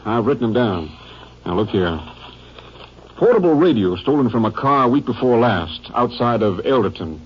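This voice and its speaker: An American man playing a gruff, cautious Texas Ranger captain with clipped, deliberate delivery in a 1950s radio drama. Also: medium pitch, expressive. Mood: serious, deliberate. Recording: dull old-time radio recording.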